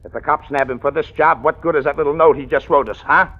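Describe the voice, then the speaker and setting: An American gangster from old radio crime drama, coolly threatening and mocking. His voice is gravelly and husky, on a dull vintage recording. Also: fairly high pitch, fairly even intonation, fast talker. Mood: menacing, mocking. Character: menacing gangster gunman, coolly mocking, in control.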